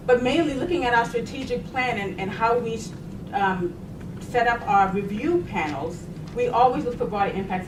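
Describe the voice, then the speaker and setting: A woman's voice: medium pitch, background noise. Chatty woman on a distant, echoey mic. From Boston, United States. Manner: chatty, laughs while telling stories. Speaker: panelist, science agency program director. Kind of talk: conversational panel talk.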